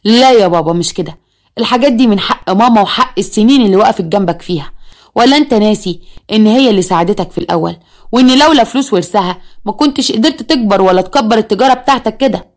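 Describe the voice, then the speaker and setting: A woman's voice: medium pitch, animated, rapid-fire, natural voice. A woman with an Egyptian accent voicing a smug, scheming young man who mocks and blackmails his stepmother. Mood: firm. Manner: mocking, smug, sweet-talking.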